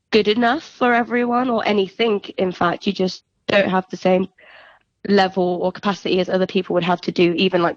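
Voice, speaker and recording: A woman's voice: lowish voice, dry recording. A young woman, a singer-songwriter, chatting casually and reflectively as a guest on a phone interview. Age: young adult. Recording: dull, lo-fi phone interview line.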